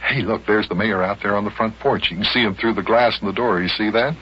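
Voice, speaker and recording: A man's voice: on the low side, fast talker, breathy edge. An actor playing a hearty, patient, folksy grown man who also narrates an old-time children's radio serial. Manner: animated, folksy, storytelling. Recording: muffled old radio broadcast recording.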